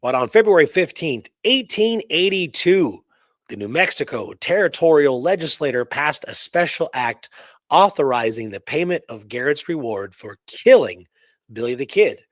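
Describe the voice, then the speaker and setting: A man co-hosting a history talk show, discussing and reading out records in an emphatic, conversational way with halting asides. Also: a little high, animated, no echo. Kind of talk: conversational history discussion with read-aloud documents.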